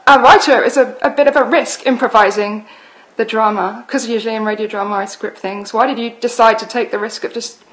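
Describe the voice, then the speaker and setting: A woman with a fairly high voice acts as interviewer. She puts her questions in a conversational way, as in a live discussion. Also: fairly even intonation, brisk, fairly bright tone, low-quality recording.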